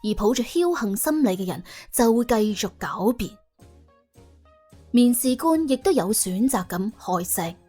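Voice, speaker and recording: A woman narrating an audiobook in colloquial Cantonese, telling the story in a wry, dramatic, expressive way. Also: fairly high pitch.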